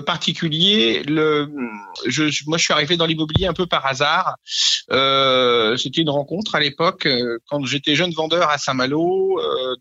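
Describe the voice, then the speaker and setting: Halting Breton man in his forties. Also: a little high, sharp tone, dry recording, highs cut off. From France, Brittany. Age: 40s. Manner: halting, searching for words, stacks hesitations. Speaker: real estate franchise owner, guest. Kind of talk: podcast interview answers.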